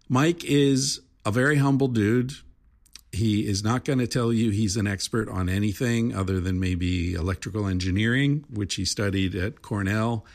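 Middle-aged male podcast host, low gravelly voice, rambling casual delivery with fillers and swearing. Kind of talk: casual podcast intro monologue.

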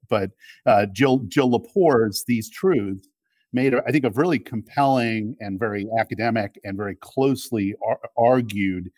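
A middle-aged man speaking as an interview guest, discussing politics reflectively in a halting, thoughtful way with frequent repetitions. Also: fairly low pitch, a little mellow, no echo. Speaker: interview guest, media executive.

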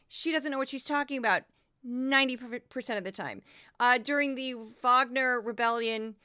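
A woman's voice: high voice, normal speed, sharp tone, clean tone, no echo, studio quality. A woman hosting a podcast, giving sarcastic, casual commentary between news clips. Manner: sarcastic, wry. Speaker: podcast host.

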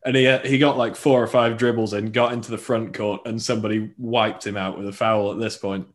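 A man's voice: lowish voice, little pitch movement, brisk. Casual man chatting as podcast host. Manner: anecdotal, explanatory, joking. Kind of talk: casual sports podcast chat.

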